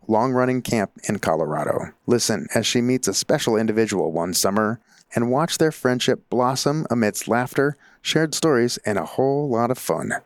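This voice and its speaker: A man hosting a podcast, reading sponsor thanks and introductions in a steady, even way. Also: mid-range voice, dry recording, clean studio sound. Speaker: podcast host. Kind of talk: read sponsor announcements.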